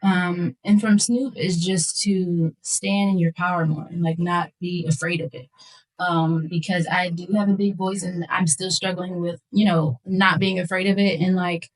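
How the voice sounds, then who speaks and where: A young woman from West Philadelphia, a singer guest, talking in a relaxed conversational way with frequent fillers. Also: on the low side, moderate pace, low-quality recording. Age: 20s. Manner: relaxed, lots of fillers like um and you know. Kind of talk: casual conversation.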